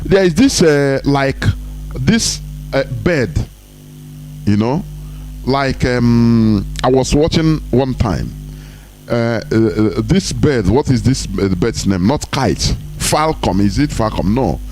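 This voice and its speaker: A man hosting a live online broadcast, talking emphatically, rising high to stress points and dropping low for asides. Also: lively intonation, slow, darkish tone, soft background music.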